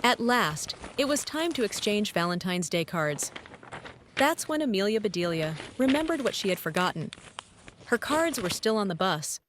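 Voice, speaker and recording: A woman narrating a children's story as a teacher would, expressive and clearly phrased for young listeners. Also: mid-range voice, moderate pace. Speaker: read-aloud teacher and storyteller. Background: sound effects and noise.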